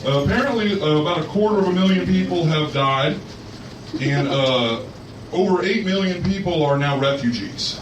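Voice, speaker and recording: Man doing a stand-up comic, halting, awkward, higher voice, distant mic, echoey, noisy. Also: expressive, natural voice. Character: male stand-up comic blundering through a tasteless set. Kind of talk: stand-up set in a played clip.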